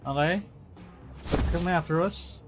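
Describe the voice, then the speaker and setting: Slow-paced man streaming a game. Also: a little high, over loud music. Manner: excitable, muttering and repeating phrases to himself. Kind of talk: live game commentary mixed with reading visual novel text aloud.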